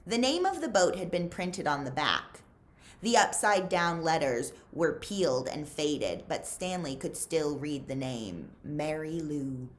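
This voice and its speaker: Woman, lowish voice, very lively intonation, unhurried, expressive children's read-aloud, suspenseful delivery. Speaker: librarian reading aloud to children. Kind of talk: expressive read-aloud storytelling, pausing to ask listeners questions.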